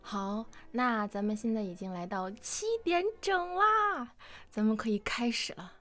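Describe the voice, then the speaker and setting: A woman hosting a live interview stream in a chatty, openly excited and nervous manner. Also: a little high, a little slow. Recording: music playing behind the voice. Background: music.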